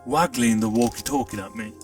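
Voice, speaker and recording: A man narrating a short audiobook in the first person with an expressive storytelling delivery. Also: on the low side, brisk. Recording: distant mic, faint music.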